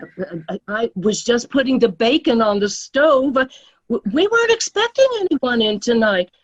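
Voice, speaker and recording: A homely storekeeper in a radio comedy. Her voice is fairly high and swings in pitch, easygoing and folksy, on a slightly dull recording. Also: expressive, unhurried. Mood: welcoming. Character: homely, hospitable country store woman. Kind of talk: radio comedy-drama acting.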